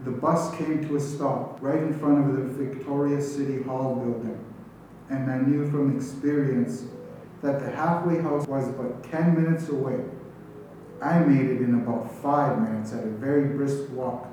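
A man's voice: medium pitch, steady tone, unhurried, dark tone. A man reading a written first-person story aloud. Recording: distant, very echoey room, faint noise.

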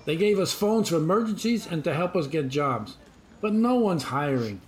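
High-voiced, excitable male onlooker played by an actor. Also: moderate pace, slight background noise, natural voice. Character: excitable homeless man, gossipy park onlooker. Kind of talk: audio drama acting.

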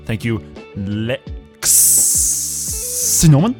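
Male podcast host, lively intonation, casual announcements over guitar music. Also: medium pitch, very slow. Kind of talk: casual patron thanks and announcements.

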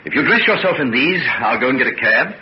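An actor playing a courteous, evasive gentleman doctor in an old-time radio serial, speaking politely and hesitantly with reassurance. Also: mid-range voice, sing-song, fast talker, lightly acted. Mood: reassuring. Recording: muffled, noisy old radio recording.

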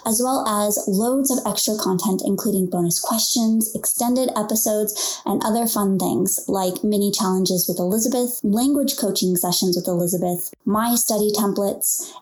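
A woman podcast co-host reading a short promotional spot in an upbeat, smooth way. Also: mid-range voice, darkish tone, poor audio.

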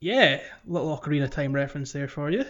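A man's voice: fairly high pitch, animated, fast, fairly bright tone, dull audio. A man hosting a podcast, talking casually about video games in a chatty, hesitant, rambling way. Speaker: podcast host. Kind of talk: casual video game discussion.

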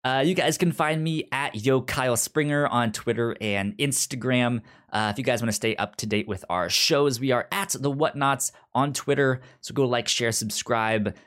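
A man's voice: mid-range voice, normal speed, few pauses, fairly bright tone. A man hosting a podcast in a casual, chatty style, with a stutter that repeats sounds and syllables. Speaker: podcast host.

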